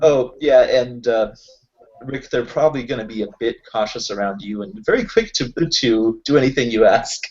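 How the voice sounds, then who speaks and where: Man, roleplay player, casual rambling explanations, average pitch, dull lo-fi call audio. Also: moderate pace, a little mellow. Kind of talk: casual game table chat.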